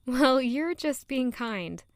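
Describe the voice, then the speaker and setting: A woman's voice: high-pitched, lively intonation, slow, no echo, heavily acted. A woman playing a flustered, self-critical young woman in a romantic period fantasy audio drama, with formal, self-deprecating wording. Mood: flustered, defensive. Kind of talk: audio drama acting with first-person narration.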